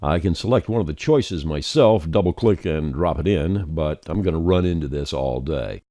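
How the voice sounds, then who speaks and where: A low-voiced man walks through a tutorial in a folksy, conversational style. His delivery rises and falls with plenty of variety. The recording is dry and close, with no room sound. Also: animated, normal speed, warm tone, dry recording. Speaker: software tutorial presenter. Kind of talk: scripted tutorial narration, explaining steps on screen.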